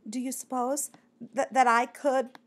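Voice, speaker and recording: A woman's voice: on the high side, animated, moderate pace. A woman voicing a shy, earnest little male bat poet in a storybook, with a timid, hesitant manner. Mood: shy, hopeful.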